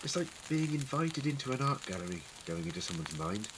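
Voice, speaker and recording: A man's voice: medium pitch, big pitch swings, brisk, noisy background, close to an everyday voice. A man acting in a fan audio drama as an eccentric, clever Time Lord adventurer, musing, wordy and wryly witty. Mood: wry, explaining.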